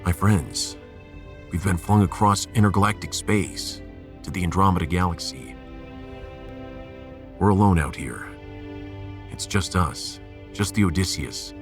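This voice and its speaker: A man playing a resolute starship captain in a sci-fi audio drama, commanding, earnest and measured. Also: low voice, soft background music. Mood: solemn, rallying.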